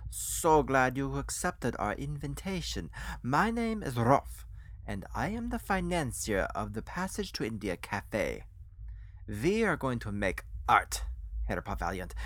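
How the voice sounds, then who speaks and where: A narrator putting on a sinister gentleman patron of the arts in an audiobook tale. He is coldly courteous and eccentric, speaking deliberately with theatrical stresses and sweeping pitch. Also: no echo. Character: sinister, eccentric male cafe financier and art patron.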